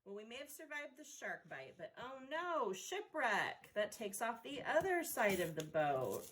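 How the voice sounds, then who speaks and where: A woman's voice: a little high, sing-song, a little slow, fairly bright tone. A woman giving step-by-step craft instructions for children in a playful, informal way. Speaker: origami instructor.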